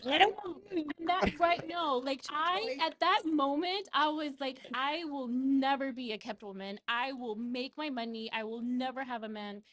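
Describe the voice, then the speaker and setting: High-voiced, sweary woman. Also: unhurried, a bit bright. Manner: blunt, sweary. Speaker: podcast panelist. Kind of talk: casual storytelling chat.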